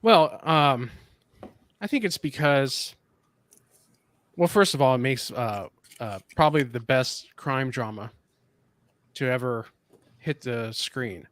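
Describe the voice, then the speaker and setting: Male guest reviewer, measured and reflective, mid pitch, dry sound. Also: animated, a bit bright, no room sound. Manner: thoughtful, measured, searching for words. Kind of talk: casual discussion.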